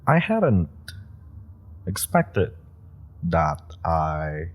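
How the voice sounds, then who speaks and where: Man voicing a nervous young super-powered rookie, deep voice, slow, rambling, laughing nervously. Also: sing-song, full character voice. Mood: hurt. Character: young adult male super-powered rookie, eager, awkward. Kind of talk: audio roleplay acting.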